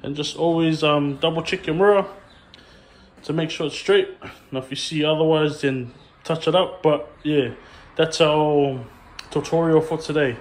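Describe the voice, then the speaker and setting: A young male barber talks a viewer through a haircut step by step, relaxed and unpolished, with plenty of ums. His voice sits a little high for a man. Faint noise and the soft buzz of clippers hang in the background. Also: unhurried. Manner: relaxed, plain instructions with frequent ums. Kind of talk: hands-on haircut tutorial.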